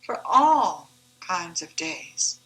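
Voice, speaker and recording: A woman reciting her own devotional poem in a measured, deliberate, reverent manner. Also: fairly low pitch, big pitch swings, a bit bright, rough recording. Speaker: author reading own poem.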